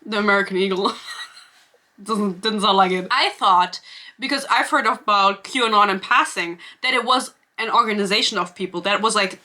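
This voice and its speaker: A woman's voice: mid-range voice, bright, forceful tone. A young woman with a German accent, ranting sarcastically and swearing freely in a casual book review vlog to camera.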